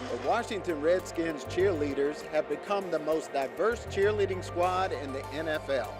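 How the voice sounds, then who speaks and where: A man's voice: on the high side, moderate pace. A man giving scripted narration for a sports feature, in an upbeat announcer manner. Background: music.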